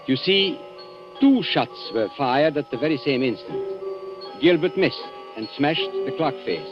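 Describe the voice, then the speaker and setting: An actor playing a suave, coolly calculating antique dealer who kills, smooth, mock-polite and theatrical, in a 1940s radio thriller. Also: male voice, a little high, sing-song, unhurried. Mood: grave, confessional. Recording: dull 1940s radio recording with music.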